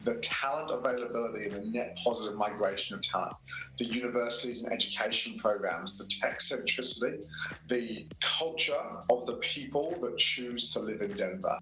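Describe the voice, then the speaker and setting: A man's voice: medium pitch, normal speed, bright, forceful tone. A man giving a promotional testimonial as a business representative. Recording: roomy promo clip. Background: music.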